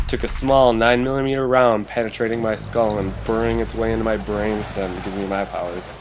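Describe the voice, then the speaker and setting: A man's voice: lowish voice, natural voice. A man playing a gloomy young man who narrates his own story in a motion comic. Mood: bitter, resigned. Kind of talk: scripted first-person motion comic narration.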